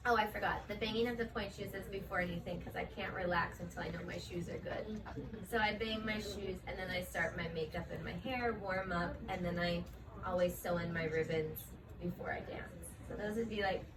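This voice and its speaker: A woman's voice: fairly low pitch, brisk. A woman, a professional ballet dancer, answering interview questions in a casual, chatty way, full of "like" and "um". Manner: chatty, frequent fillers like "like" and "um". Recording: distant mic with steady background noise.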